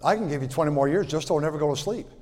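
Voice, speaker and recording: A man in his sixties preaching a sermon in a folksy way, repeating words and swinging from loud to quiet. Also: on the high side, racing, in a large hall. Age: 60s. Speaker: pastor.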